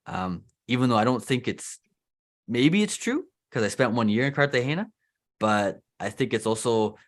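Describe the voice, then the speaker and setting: A man's voice: medium pitch, a bit bright. A young Canadian man with a Canadian accent hosting a casual interview, talking loosely with lots of restarts and fillers. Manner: chatty, loose, heavy use of "like" and restarts. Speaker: host.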